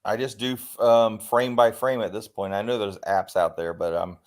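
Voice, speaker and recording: A man's voice: fairly low pitch, steady tone, moderate pace. A man in his forties or fifties, a guest in a casual online group chat, laughing often and joking about himself. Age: 40s or 50s. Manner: laughing, self-deprecating.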